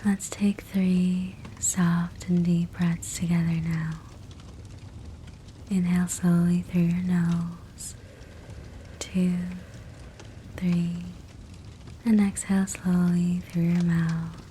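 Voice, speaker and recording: A woman guiding a sleep meditation in a soft, breathy whisper, gentle and soothing, with measured phrasing and long pauses. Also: on the low side. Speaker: sleep hypnosis meditation guide. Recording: steady fireplace crackle behind voice. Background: fireplace sounds.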